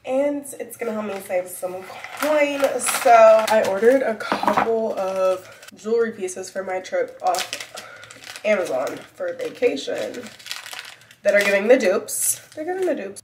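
A young woman in her twenties chatting casually to camera for her vlog, her voice a little raspy from a lingering cold, rambling with lots of fillers as she eats. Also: mid-range voice, lively intonation, unhurried, background noise. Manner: chatty, filler-heavy, talks while eating. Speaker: vlogger. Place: home kitchen and walk-in closet. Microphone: camera set on counter at arm's length. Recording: echoey room, somewhat far from mic.

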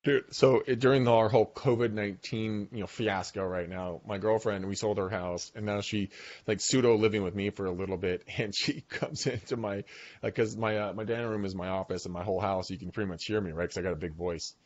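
A talkative man in his forties hosts a podcast from the dining room of his home. His voice sits low, and it comes through a boom mic with a slightly dull, lo-fi sound. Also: brisk. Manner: talkative, animated storyteller. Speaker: podcast host. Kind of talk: casual video-call interview. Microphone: boom mic on arm.

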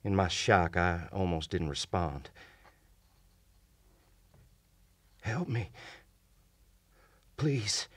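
Deep-voiced, haunted Confederate lieutenant. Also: male voice, sing-song, fairly bright tone, no echo, full character voice. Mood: awed, pleading. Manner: grave, formal, deliberate storytelling. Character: haunted, desperate Confederate lieutenant recounting a horror from his journal. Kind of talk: dramatic old-time radio play narration. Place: small radio studio. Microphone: vintage-style studio microphone at close range. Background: music.

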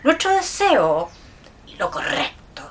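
A woman's voice: high voice, wildly swooping pitch, slow pace, fairly bright tone, heavily acted. A woman playing a haughty, scolding older mother-in-law in a radio drama. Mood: reproachful.

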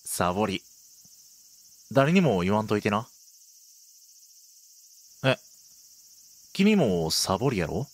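A man's voice: lowish voice, a bit bright, heavily acted. A man playing a teasing, laid-back young delinquent with a Kansai accent in an intimate Japanese roleplay.

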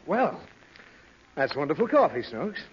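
A man's voice: fairly high pitch, big pitch swings, measured pace. An actor playing a harried, exasperated father in 1930s radio comedy, blustering and whining. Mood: weary, humoring. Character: harried, exasperated father, quick to threaten spankings. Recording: dull, noisy 1930s radio recording.

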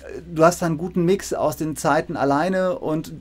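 Higher-pitched man interviewing conversationally. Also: moderate pace. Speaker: interviewer, co-host, professor. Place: indoor room with bookshelves.

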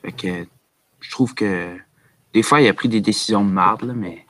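A man's voice: on the low side, moderate pace, fairly bright tone, slight background noise. A young man with a Quebec French accent chatting casually on a group video call. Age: young adult. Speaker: podcast panelist. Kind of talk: casual chat.

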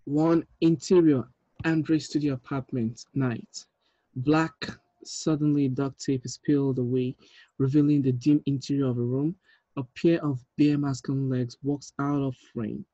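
A man in his thirties reading screenplay directions aloud in a measured, deliberate way, with small stumbles. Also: mid-range voice, fairly even intonation, mellow, no room sound, lo-fi sound. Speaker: writer reading stage directions at a table read. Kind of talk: script narration, reading aloud. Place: indoor room, on a video call.

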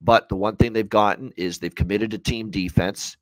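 Man, thirties, lowish voice, sports analyst, wordy and hedging, very dry home recording. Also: fairly even intonation, normal speed, dry recording, rough recording. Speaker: sports betting analyst and co-host. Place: small room at home, on a video call.

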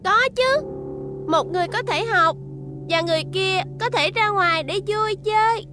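A tiny, extremely high voice of a feisty little cartoon robot girl. She is childlike and pouty, curious and stubborn, with music playing behind her. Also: moderate pace. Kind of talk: animated cartoon dub.

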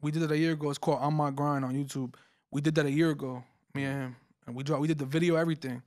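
A man's voice: a little high, fairly even intonation, rapid-fire, a little mellow. A young man from New Jersey, a rapper guest, talking casually and laid-back into a studio mic on a couch. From Paterson, New Jersey. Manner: laid-back, slangy, repeats phrases. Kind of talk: casual interview chat. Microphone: studio mic on boom arm.

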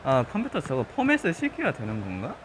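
A man with a regional Korean accent chatting casually over a football video game, his voice a little husky from just waking up, breaking into loud excited shouts. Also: fairly high pitch, brisk, a little hiss or hum. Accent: regional Korean dialect. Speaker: gaming channel host. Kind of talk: live gameplay commentary. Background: game music.

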